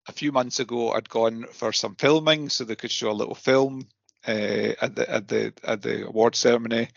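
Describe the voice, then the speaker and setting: A man hosting a podcast in a casual, halting chat style, often repeating his words. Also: mid-range voice, vocal fry, fairly bright tone, dry recording, highs cut off. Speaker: podcast host.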